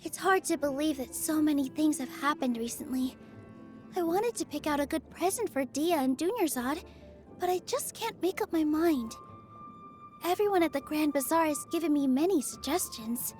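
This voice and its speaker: Game role: gentle, cheerful young female dancer, high-pitched, earnest, easily flustered, over soft background music. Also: animated, normal speed. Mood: cheerful, musing. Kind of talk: video game character voice lines.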